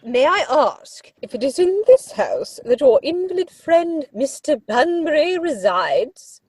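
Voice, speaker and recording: A woman's voice: sits high, animated, unhurried, a little mellow, dry recording, rough recording. A woman playing an imperious, indignant older aristocratic mother in a period stage comedy, with a pompous, commanding manner. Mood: cold, commanding. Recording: dry home recording over video call.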